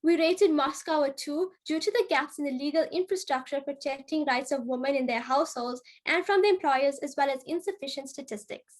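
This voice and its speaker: A young woman reciting a poem and reading a presentation, careful and deliberate, over a video call. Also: high voice. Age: young adult. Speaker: youth ambassador presenter.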